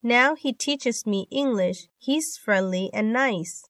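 A woman reading scripted English lesson sentences for a listening test, in a measured, carefully enunciated manner. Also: fairly high pitch, a bit bright, no room sound, studio quality. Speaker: exam listening test reader.

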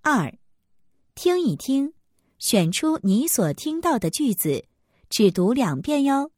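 A woman's voice: sits high, very animated, slow pace, darkish tone, dry recording. A woman reading test instructions in a lilting classroom tone, recorded dry and close.

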